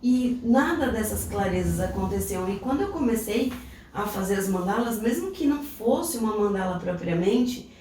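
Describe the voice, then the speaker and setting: A woman's voice: mid-range voice, expressive, moderate pace, mellow. A Brazilian woman co-hosting a podcast, telling a personal story in a reflective, casual way. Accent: Brazilian Portuguese. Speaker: podcast co-host. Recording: echoey room, distant mic, faint noise.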